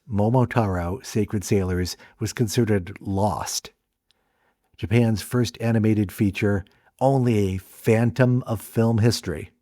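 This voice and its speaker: A man giving scripted documentary narration about film history, measured and deliberate. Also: on the low side, dark tone, no echo, studio quality.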